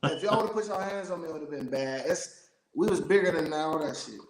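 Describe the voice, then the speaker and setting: High-pitched American man, echoey room. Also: a little fast, a crowd in the background. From Youngstown, Ohio, United States. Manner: rambling, emphatic storyteller. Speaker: interview guest. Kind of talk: casual interview chat. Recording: roomy, echoey sound.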